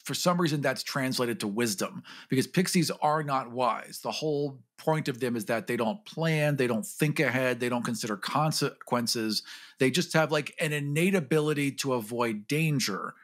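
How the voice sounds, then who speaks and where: A man's voice: mid-range voice, normal speed, fairly bright tone. A man talking casually and jokingly as part of a podcast discussion.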